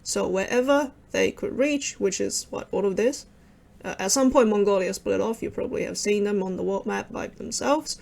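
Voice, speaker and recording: A young woman, Cantonese her first language, talks through maps in a loose, rambling way, often breaking off into wry asides. Her voice sits in a middling register with plenty of gravelly vocal fry. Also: mid-range voice, darkish tone. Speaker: video creator explaining with maps. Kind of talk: casual informal explainer over maps.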